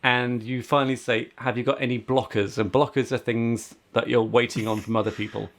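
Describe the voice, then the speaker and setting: A man's voice: mid-range voice, flat delivery, fairly quick. A man co-hosting a podcast, with a mid-range voice. He talks in a casual, conversational style and tends to explain things as he goes. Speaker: podcast co-host.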